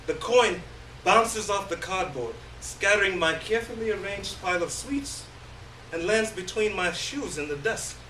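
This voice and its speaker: A man giving a theatrical, halting first-person reading of a literary story on stage. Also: high-pitched, lively intonation, sharp tone. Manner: theatrical, halting, with long pauses. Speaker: reader of a short story. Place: live indoor stage reading. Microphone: distant microphone. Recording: roomy, distant stage recording, faint noise.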